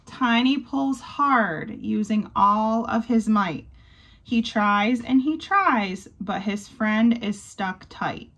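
Slow-reading young woman with slight creak. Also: mid-range voice, animated, a bit bright. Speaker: volunteer storybook reader. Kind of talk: reading a children's picture book aloud. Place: small wood-panelled bedroom indoors.

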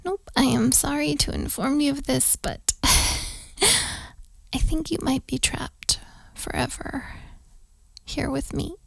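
A woman playing a needy, affectionate young girlfriend in an intimate ASMR roleplay, teasing and breathy, often slipping toward a whisper. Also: high-pitched, fairly bright tone, no room sound, full character voice. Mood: playful, teasing. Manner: slow, teasing, affectionate, giggly. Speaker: audio roleplay performer. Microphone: close microphone, dry sound.

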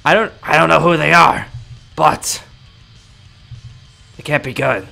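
A man's voice: a little high, measured pace, vocal fry, bright, forceful tone, soft background music, heavily acted. A man voicing an urgent young ally who gives warnings and orders in a video game roleplay. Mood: alarmed, urgent. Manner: urgent, instructing. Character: urgent young male tower-bound ally warning of invaders.

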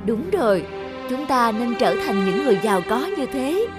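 A patient, kindly market mother from a cartoon voiced by a woman. She speaks as a caring parent of modest means, with loud music behind. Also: a little high, lively intonation, normal speed, lightly acted. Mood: tender, wise. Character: poor, kind, patient mother selling bread at market. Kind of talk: cartoon voice acting.

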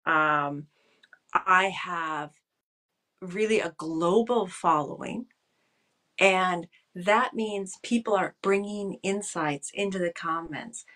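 Woman, fifties, political scientist guest, slightly low voice, thoughtful, restarts phrases, home webcam call. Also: slow, sharp tone. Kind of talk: casual video call interview. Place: home room with bookshelves. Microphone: video call webcam.

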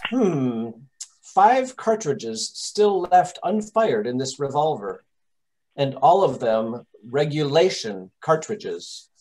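A man plays a dry, commanding detective in 1930s radio style. His voice sits fairly high and moves slowly, with animated intonation. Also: slight background noise, low-quality recording. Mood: grave, probing. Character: brisk, exacting, commanding master detective. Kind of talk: 1930s radio mystery acting.

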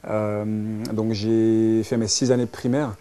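Mellow-voiced Belgian man in his thirties. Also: lowish voice, flat delivery, slow pace, clean tone. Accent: Belgian French. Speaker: interviewee, dance teacher and social worker. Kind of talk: conversational interview, recounting own life. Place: small furnished lounge indoors.

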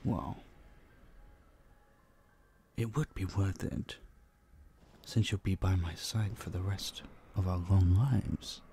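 Man acting a slow, creaky-voiced possessive vampire. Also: deep voice, a little hiss or hum, exaggerated voice. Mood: tender, possessive. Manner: slow, hushed, teasing, dominant, dropping into whispers. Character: obsessive, possessive male vampire captor, menacingly sweet. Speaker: voice actor. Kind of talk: intimate roleplay audio drama, addressing the listener.

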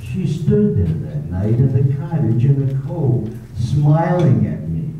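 Man acting as a grave, slow-spoken husband full of guilt, tinny distant echoey recording. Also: mid-range voice, wildly swooping pitch. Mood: bitter. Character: tormented husband confessing a killing, middle-aged man. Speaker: amateur theater actor. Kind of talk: 1930s-style radio drama acting. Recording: distant, echoey, tinny phone-like sound with faint noise.

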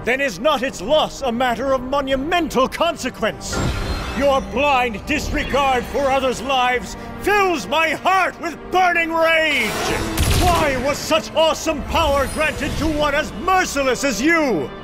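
A man's voice: extremely high pitch, a little slow, over music. A man playing a noble, anguished alien herald, delivering solemn, archaic, theatrical declamation in a comic-book drama. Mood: burning rage.

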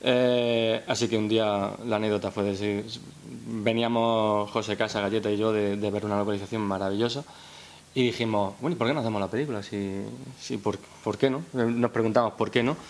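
A man's voice: on the low side, fairly even intonation, brisk, slight background noise. A man. He talks informally at a press conference as a director, sometimes repeating words while he thinks. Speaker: film director presenting a project. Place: press room at a town hall, seated at a table. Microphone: gooseneck table microphone.

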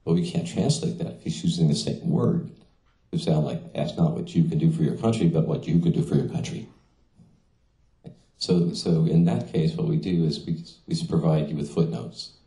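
Deep-voiced man with halting speech. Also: warm tone. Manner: halting, many fillers and restarts. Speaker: translator. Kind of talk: casual talk at a reading. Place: small venue indoors. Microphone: stand mic in front of seated speaker. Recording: echoey room.

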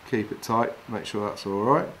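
A middle-aged man from England explains fly tying step by step in a calm, practical way. His voice sits fairly low. He was recorded indoors in a wooden lodge, with a faint hum of room ambience behind him. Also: moderate pace, creaky, low-quality recording. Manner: calm, practical, talks while working with his hands. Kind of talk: step-by-step demonstration.

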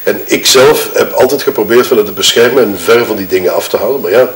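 A man acts out a wronged Flemish husband in an audio drama, justifying himself at length. His voice sits on the low side and rings in a roomy space. He picks formal words, hesitates and corrects himself as he testifies. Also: quick pace, close to an everyday voice. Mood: bitter, grieving. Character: wronged, self-justifying widowed husband giving his statement. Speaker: actor in an audio murder-mystery game. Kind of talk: acted improvised-sounding testimony. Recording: roomy echo.

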